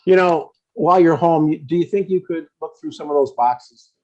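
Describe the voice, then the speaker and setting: A man's voice: on the high side, normal speed, mellow, natural voice. An older man playing a blunt, stubborn but affectionate father in a comedy table read, over dull stream audio. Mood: blunt, grumbling. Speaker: amateur actor.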